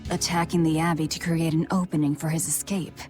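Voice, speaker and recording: A woman's voice: low voice, flat delivery, brisk, music in the background, natural voice. An actress playing a cold, hardened young woman fighter in a game, blunt and terse. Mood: curt.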